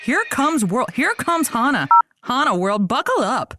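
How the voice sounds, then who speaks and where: A woman playing a breezy, encouraging host of a comedy advice call-in show, with playful, deliberate phrasing. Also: high voice, big pitch swings, heavy background noise. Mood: supportive.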